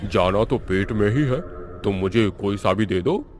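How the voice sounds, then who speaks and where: A man's voice: on the low side, unhurried, a little mellow, faint music behind, close to an everyday voice. A man voicing a hungry, greedy male ghost in a cartoon, drawling and exclaiming. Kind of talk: cartoon voice acting.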